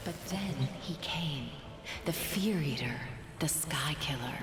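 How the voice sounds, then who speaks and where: An actress playing a tender, solemn mother telling an old legend in a video game, gentle and hushed, at times nearly whispering. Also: sits low, animated, takes it slowly. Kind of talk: video game voice acting, storytelling. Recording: echoing reverb, noisy game ambience.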